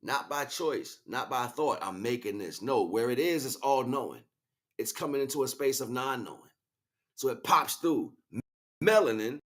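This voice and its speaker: A man's voice: mid-range voice, expressive, moderate pace, a bit bright. A man improvising a spiritual lecture as a teacher, speaking emphatically, repeating key words and checking in with rhetorical questions. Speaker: spiritual teacher and livestream host.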